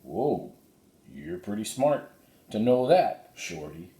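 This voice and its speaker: A man voicing a tough but kindly storybook cowboy with folksy, drawn-out talk. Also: lowish voice, very animated, mellow. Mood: wary, folksy. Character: plain-spoken, tough but kindly cowboy horse herder. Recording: roomy, echoey sound.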